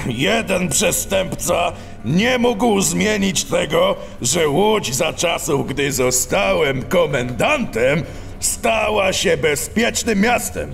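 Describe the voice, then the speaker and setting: An actor playing a stern, weary animal police chief in a children's audio drama, speaking in a grudging, terse way. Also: male voice, sits high, animated, slow pace, gravelly, fairly bright tone, exaggerated voice. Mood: stern, defensive. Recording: long cavernous reverb, music behind.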